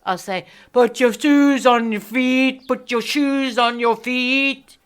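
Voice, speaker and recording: A woman's voice: sits high, fairly even intonation, takes it slowly, clear, resonant tone. An actress playing an ageing, down-and-out South African woman, feisty and lonely, rambling and muttering to herself in a radio play. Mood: defiant. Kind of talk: dramatic monologue in a radio play.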